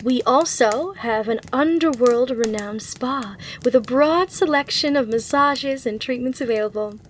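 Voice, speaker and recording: A woman's voice: sits high, measured pace, slight background noise. A woman playing a gracious, eager demon hotel receptionist in an ASMR roleplay, with polite, reassuring customer-service patter. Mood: cheerful, helpful.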